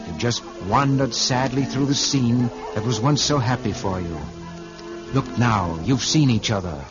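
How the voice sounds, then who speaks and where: An actor playing Tomorrow personified, an all-knowing, calm male guide who speaks in measured, poetic phrases in an old-time radio drama. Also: mid-range voice, natural voice. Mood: gentle, evocative. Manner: measured, solemn, poetic narration of visions. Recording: muffled vintage radio recording with music behind.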